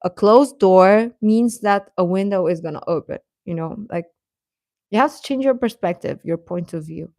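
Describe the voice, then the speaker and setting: A woman's voice: mid-range voice, moderate pace, darkish tone, no echo, clean studio sound. A woman chatting casually on a livestream, giving earnest, encouraging advice and repeating phrases for emphasis in a reassuring way. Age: adult. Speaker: live streamer giving advice to teenage viewers.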